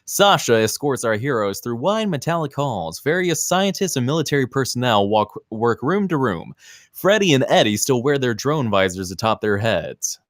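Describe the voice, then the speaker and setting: A man's voice: mid-range voice, sing-song, moderate pace, no echo, clean studio sound. A man reading scripted screenplay narration in a theatrical, clipped present-tense style. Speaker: stage-direction reader at a table read.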